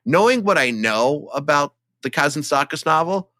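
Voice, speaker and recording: A man's voice: a little high, lively intonation, normal speed, sharp tone, no room sound. A man co-hosting a casual film podcast, talking enthusiastically and emphatically in a conversational way. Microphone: studio microphone, close.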